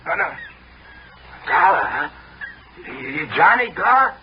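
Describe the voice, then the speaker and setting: A nervous small-time crook in a 1960s radio play. He is distrustful and blustery, with a high voice. The sound is dull and noisy, like an old broadcast. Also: very animated, unhurried. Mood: suspicious, defensive. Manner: blustering, nervous, rough street grammar. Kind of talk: 1960s radio drama acting.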